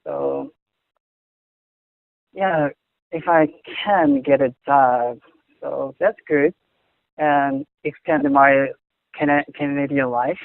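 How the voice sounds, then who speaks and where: Halting Korean-accented young man, gravelly. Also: mid-range voice, fairly even intonation, no echo. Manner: halting, searching for words, frequent restarts. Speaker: interviewee. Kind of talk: casual conversation in English. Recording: tinny phone-like audio.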